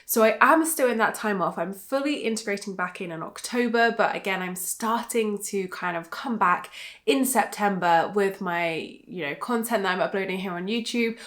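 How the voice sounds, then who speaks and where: Woman, 30, vlogger; chatty and bubbly, lots of "like" and "um", mid-pitched, a touch nasal from a lingering cold. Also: normal speed, fairly bright tone. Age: 30s. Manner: chatty and bubbly, many fillers like "like" and "um". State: recovering from a cold. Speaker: life coach and YouTuber. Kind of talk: casual vlog chat to camera.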